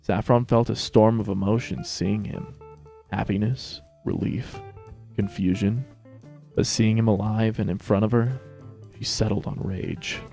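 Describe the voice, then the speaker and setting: A man's voice: on the low side, steady tone, measured pace, gravelly, dark tone, faint music behind. A man narrating a scripted story as a dramatic reading.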